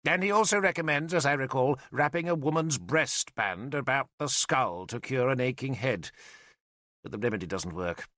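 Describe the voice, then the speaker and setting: A man's voice: medium pitch, normal speed, bright, forceful tone, no echo, clean studio sound, natural voice. A male narrator voicing an old, vain and cantankerous wizard who mocks and lectures in a sardonic way. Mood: lecturing. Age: older. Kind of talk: audiobook character voice.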